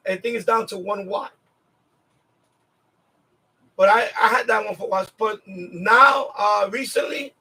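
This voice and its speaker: High-pitched man chatting on a livestream. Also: pushed and bright, slightly rough, no echo, poor audio. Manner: rambling, repeats himself. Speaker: livestream host. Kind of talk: casual live chat with viewers.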